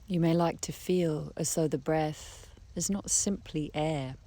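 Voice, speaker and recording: A woman leading a guided meditation in a soft, breathy voice, speaking gently with long unhurried pauses. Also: low voice. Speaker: guided relaxation teacher. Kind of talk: guided meditation, soothing slow instruction. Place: outdoors beside a harbour. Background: birdsong, trickling stream, breeze.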